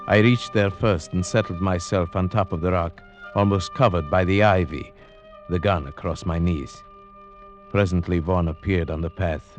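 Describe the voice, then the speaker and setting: A man with a very deep voice narrates an old-time radio thriller in a measured, suspenseful manner. Clear music plays behind him on the vintage recording. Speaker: narrator and lead actor. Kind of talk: 1940s radio drama narration.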